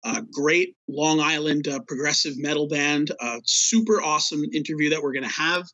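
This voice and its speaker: A man's voice: a little high, moderate pace, bright, forceful tone, dry recording, highs cut off. A man co-hosting a hockey podcast, chatting casually with lots of fillers and a laid-back, rambling manner. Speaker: podcast co-host.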